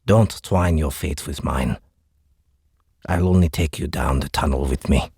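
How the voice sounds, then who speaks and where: A man voicing a grave, weary alien soldier who speaks softly and solemnly in an audiobook. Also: very deep voice, measured pace, gravelly, husky, dry recording, clean studio sound, pitched below the performer's own voice, full character voice. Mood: grave, resigned. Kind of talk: audiobook character voice.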